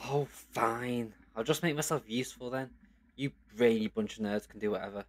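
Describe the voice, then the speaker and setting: A man's voice: mid-range voice, fairly even intonation, normal speed, lightly acted. A man playing a sulky, flippant young traveller who hides hurt behind jokes in an audio drama. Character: sulky, joking young male traveller who feels inadequate.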